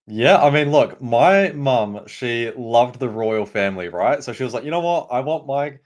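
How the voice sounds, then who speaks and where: A young man from New Zealand with a New Zealand accent, a guest explaining markets in a relaxed, upbeat way. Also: medium pitch, moderate pace. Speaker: guest analyst. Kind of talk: casual explanatory interview.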